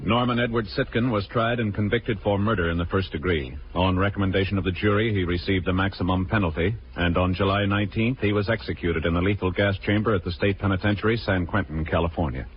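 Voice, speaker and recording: An American man announcing in formal, solemn, measured 1950s radio style from a script. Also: sits low, steady tone. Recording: dull vintage radio recording, loud music.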